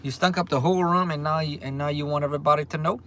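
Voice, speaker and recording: A man's voice: on the high side, steady tone, racing, fairly bright tone, slight background noise. A man in his forties with a Louisiana accent, hosting a casual livestream and answering viewer comments in an easygoing way, often repeating himself. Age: 40s. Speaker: livestream host. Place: restaurant. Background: faint restaurant ambience.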